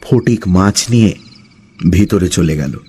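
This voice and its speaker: A man's voice: sits low, animated, slow, dark tone, husky. A man narrating a scripted suspense story as its storyteller.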